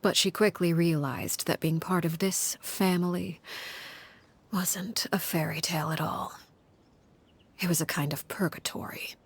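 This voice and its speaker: A cold, low-voiced commanding leader. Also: female voice, fairly even intonation. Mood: grave. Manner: measured, solemn storytelling with hushed, breathy passages. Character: cold, commanding woman leader telling a grim past. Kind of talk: studio game voice acting. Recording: game audio with faint music behind.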